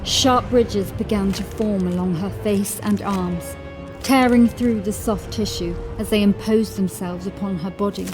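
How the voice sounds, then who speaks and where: A woman narrating a horror audiobook in a dramatic, scripted style, with measured, suspenseful storytelling. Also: medium pitch. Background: music.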